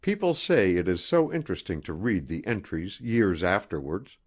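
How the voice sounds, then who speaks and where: A man narrating a first-person literary story as an audiobook, with deliberate, theatrical, expressive phrasing. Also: sits low.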